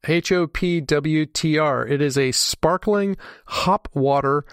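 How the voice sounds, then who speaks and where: Man, podcast co-host, a bit high, dry close sound, rambling with laughs. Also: slow pace, smooth, no room sound. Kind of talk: casual chat.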